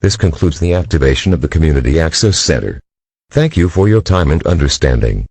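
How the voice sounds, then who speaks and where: Emergency alert announcer, male actor: extremely deep, dry close sound, flat formal delivery. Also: a little fast, husky, dry recording, full character voice. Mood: impersonal, formal. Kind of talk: scripted public alert announcement.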